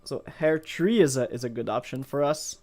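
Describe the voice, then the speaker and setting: A native French-speaking man from Montreal talks with a Quebec French accent over background music. He is a game streamer chatting casually to viewers. His delivery rambles and hesitates, as he thinks out loud. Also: mid-range voice, normal speed. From Montreal, Canada. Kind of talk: casual live gameplay chat.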